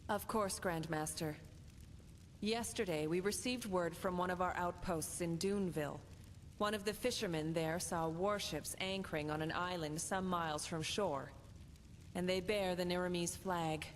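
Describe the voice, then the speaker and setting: A lowish female voice rings in a hall with faint background noise. A woman plays a dutiful fantasy commander, formal and businesslike. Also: normal speed, lightly acted. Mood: sober. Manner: formal, reporting. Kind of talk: cinematic fantasy game voice acting.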